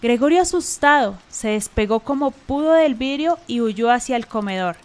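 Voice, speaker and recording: A woman's voice: on the high side, animated, normal speed, a little mellow. A woman narrator telling a scripted story for a radio drama.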